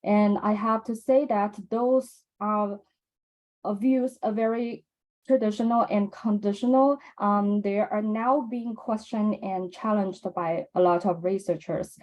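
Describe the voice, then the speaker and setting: A young woman from mainland China with a Chinese accent, giving a measured academic conference presentation with frequent ums and uhs. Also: medium pitch, fairly even intonation, a little mellow, highs cut off. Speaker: presenter at a research symposium.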